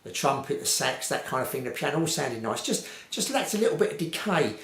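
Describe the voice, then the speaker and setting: A man's voice: mid-range voice, sing-song, brisk, fairly bright tone. A man giving an informal, unscripted hi-fi review in a rambling, repetitive way with lots of hedging. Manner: rambling, hedged, repetitive phrasing. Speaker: vintage hi-fi reviewer. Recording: roomy, echoey home recording.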